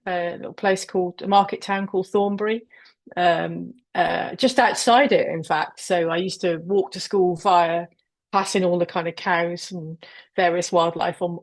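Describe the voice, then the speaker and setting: A woman from South Wales, a guest author, talking in a hesitant way with many pauses and restarts over a video call. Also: lowish voice. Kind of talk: casual interview chat.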